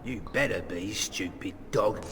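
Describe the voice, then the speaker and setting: An actor playing a gruff, bullying male guard in a fantasy video game. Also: fairly low pitch, measured pace, long reverb tail. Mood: impatient, scornful.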